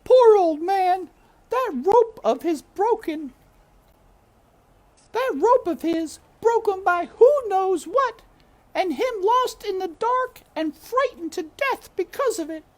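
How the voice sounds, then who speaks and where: Shrieking, wailing castle cook. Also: female voice, very high-pitched, expressive, slow, pitched above the performer's own voice, exaggerated voice. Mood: distraught. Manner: wailing, shouting, broad and coarse. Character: ferocious stout female castle cook, loud and weepy.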